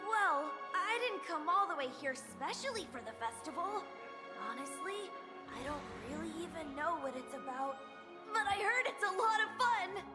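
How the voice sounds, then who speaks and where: A woman playing an excitable young festival-goer in a video game, eager and expressive. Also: very high voice, moderate pace, music in the background. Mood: bubbly, excited. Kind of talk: video game character acting.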